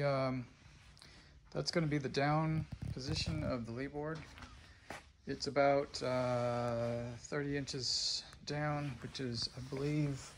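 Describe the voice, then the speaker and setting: A man's voice: medium pitch, a little hiss or hum. A middle-aged man casually narrating his boat build in a woodworking shop, thinking aloud with plenty of fillers, recorded on a handheld phone. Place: large indoor woodworking shop.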